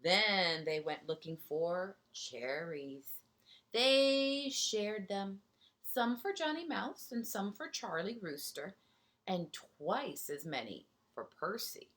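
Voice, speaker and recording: A woman's voice: medium pitch, expressive, slow, fairly bright tone. A woman teacher reading a picture book aloud to young children, expressively, with asides and questions to her listeners.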